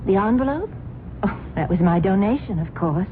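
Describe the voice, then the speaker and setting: An actress playing a wealthy wife who gushes about a cult, with a breathy, gushing manner, in an old radio drama. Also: female voice, medium pitch, animated, normal speed, noisy background, close to an everyday voice. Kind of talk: 1970s radio drama acting. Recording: phone-like old radio broadcast with music.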